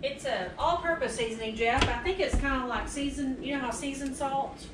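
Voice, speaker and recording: Middle-aged woman, lively family storyteller, bright-ish voice, roomy kitchen with chatter. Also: high-pitched, normal speed, very noisy. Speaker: daughter recalling stories. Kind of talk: casual family chat. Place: home kitchen, indoors.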